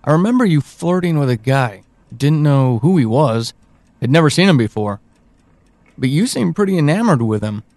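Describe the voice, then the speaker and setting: A man's voice: on the high side, lively intonation, a little mellow, no room sound. Hesitant man acting out an evasive, uneasy friend. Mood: wry recounting. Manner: hesitant, halting, confessional. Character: evasive male friend, uneasy, withholding a troubling memory. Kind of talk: audio drama dialogue.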